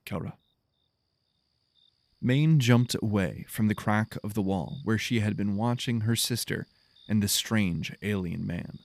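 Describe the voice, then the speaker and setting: A man reads scripted fiction as its narrator. His voice is on the low side and noticeably gravelly, with measured, solemn phrasing. The sound is dry, free of room echo. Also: darkish tone.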